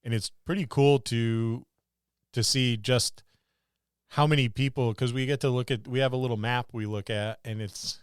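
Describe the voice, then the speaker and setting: A man with a clean, smooth voice at an average pitch chats as a podcast co-host. He rambles enthusiastically about gaming, and the recording is close and dry. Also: steady tone, normal speed, no room sound. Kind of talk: casual podcast chat about tabletop gaming.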